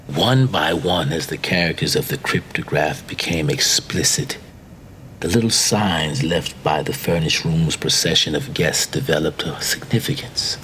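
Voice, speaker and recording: A man reading a macabre literary tale as dramatic narration, with theatrical, deliberate phrasing. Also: low-pitched, sharp tone. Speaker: story reader. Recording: faint background noise and ambience.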